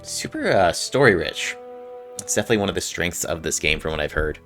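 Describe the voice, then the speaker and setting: A man's voice: sits low, lively intonation, brisk, a bit bright. A man hosting a gameplay video, casually commenting and reading game text aloud in an informal way. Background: faint game music.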